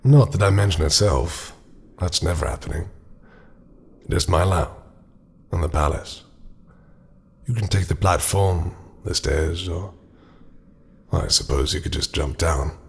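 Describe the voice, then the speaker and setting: A man playing an immortal, possessive yet tender deity in a dark fantasy romance audio roleplay, speaking intimately and often sinking into murmurs. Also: low-pitched, creaky. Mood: teasing, commanding. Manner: intimate, slow, dropping into murmurs and whispers. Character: immortal male deity, possessive, tender, darkly seductive ruler.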